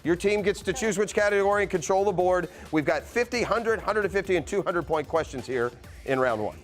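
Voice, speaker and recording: A man's voice: high-pitched, animated, a little fast, faint music behind. A man hosting a game show with upbeat banter.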